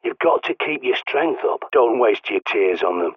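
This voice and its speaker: An actor playing a blunt, sweary, paranoid survivalist mentor in a video game, with an English accent, heard through a radio filter. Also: male voice, mid-range voice, expressive, normal speed, breathy edge, dry recording. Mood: brisk. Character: gruff paranoid doomsday survivalist man, bossy mentor. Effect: radio transmission filter. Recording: muffled narrow-band radio sound.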